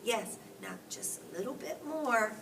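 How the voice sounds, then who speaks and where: A woman's voice: fairly high pitch, animated, measured pace. An earnest young storybook boy, read by a woman, eager but polite and slightly hesitant. The recording is distant, with noticeable background noise.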